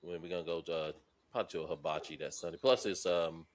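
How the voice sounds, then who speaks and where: A man's voice: low voice, fairly even intonation, fast. A man about to turn fifty, co-hosting a podcast in casual, joking, profane chat. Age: 40s. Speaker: podcast co-host.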